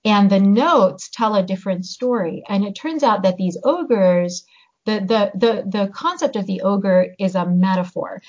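A woman, born in Hungary, chatting as an interview guest and pausing to search for her words. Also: mid-range voice, darkish tone. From born in Hungary, raised in the US. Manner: reflective, pauses to search for words. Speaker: guest, novelist and teacher. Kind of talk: casual interview chat. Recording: slightly muffled audio.

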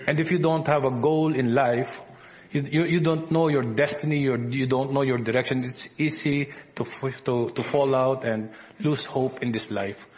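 A man with a Filipino accent preaches a sermon to a congregation, stopping often with fillers and restarting his sentences. His voice sits at an ordinary middle pitch. The church recording sounds a little dull and lo-fi. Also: fairly even intonation. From Philippines. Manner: halting, many fillers and restarts. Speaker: visiting preacher. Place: church service.

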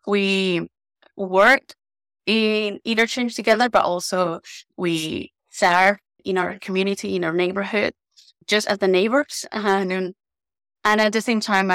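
Woman, from Guatemala, gravelly voice, mid pitch, unhurried, pauses often. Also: a bit bright, no room sound. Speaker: researcher, podcast guest. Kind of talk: casual self-introduction.

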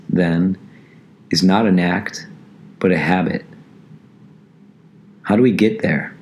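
A man hosting a motivational podcast, his voice raspy and hoarse as it recovers from laryngitis, speaking slowly and steadily. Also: sits low, darkish tone. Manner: slow, measured motivational delivery. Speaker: martial arts instructor and podcast host.

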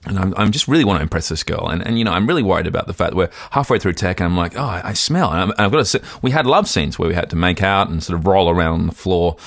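Fast-talking, deep-voiced man rambling on a podcast. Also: creaky, no room sound, clean studio sound. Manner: rambling, self-deprecating storyteller with many restarts. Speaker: podcast co-host. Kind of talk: casual comedic podcast chat.

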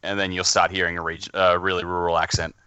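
A man's voice: low voice, level tone, fast, pushed and bright. A man with an Australian accent chatting casually as a podcast guest, often hesitating as he thinks aloud. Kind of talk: casual chat.

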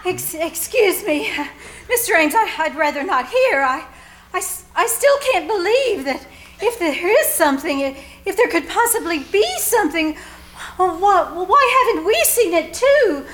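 A woman's voice: very high voice, expressive, normal speed, a bit bright. A woman playing a timid, squeamish, genteel lady in a live 1940s-style radio suspense play, speaking in a hesitant stammer. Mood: flustered, uneasy. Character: timid, squeamish, flustered spinster lady Miss Landis.

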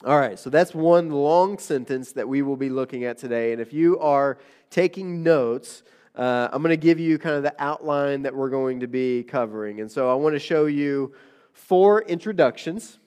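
A man's voice: mid-range voice, moderate pace, darkish tone, smooth. A male pastor giving a conversational sermon, nasal from a recent illness, with frequent fillers and restarts.

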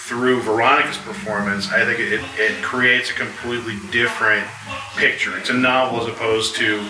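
A man's voice: medium pitch, fairly even intonation, moderate pace. Distant, echoey man on a panel. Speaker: audio drama series creator and writer on a panel. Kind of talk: casual panel talk. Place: live convention panel. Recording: echoey, distant mic with clear noise.

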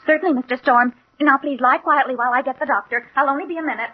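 An actress in a 1940s radio drama playing a brisk, dutiful hospital nurse with a crisp, formal, attentive manner. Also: female voice, sits high, natural voice. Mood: attentive, composed. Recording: dull, noisy 1940s radio recording.

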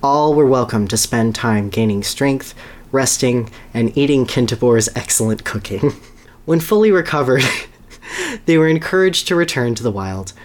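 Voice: mid-range voice, lively intonation, normal speed. A podcast host gives a dramatic reading of a story, with casual asides and an amused manner. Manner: amused, breaks into laughter while reading.

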